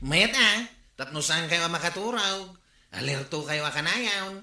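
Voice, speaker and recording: A man's voice: on the high side, expressive, slow, pushed and bright, full character voice. An actor playing a brash, noisy male neighbor who teases and mocks his neighbors in a radio comedy drama. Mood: mocking. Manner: teasing, mocking, laughs at complaints. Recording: echoey room.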